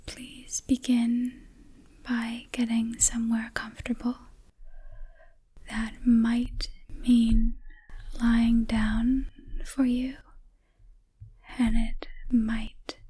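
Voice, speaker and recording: A woman guiding an ASMR meditation in a soothing, hushed, whispery soft voice, leaving long pauses between short phrases. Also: on the high side, level tone, breathy edge. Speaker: ASMR host, meditation guide.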